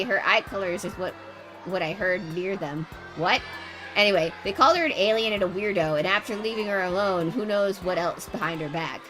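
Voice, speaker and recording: A woman livestreamer reading game narration aloud with casual, animated, jokey commentary. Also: medium pitch, rough recording. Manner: animated, reactive, jokey exclamations.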